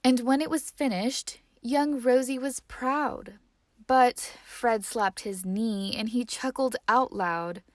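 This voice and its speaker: A woman reads a picture book aloud with expressive, theatrical phrasing. Her voice sits a little high and is recorded close and dry. She takes her time, letting phrases settle. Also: no echo. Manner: measured, dramatic storytelling with hushed phrase endings. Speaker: story reader.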